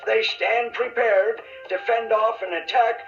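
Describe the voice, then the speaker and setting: A man playing a showy, secretly sly wizard in a children's audio story, with theatrical, drawn-out delivery and a muffled sound. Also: high voice, steady tone, vocal fry, soft background music. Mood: grandiose.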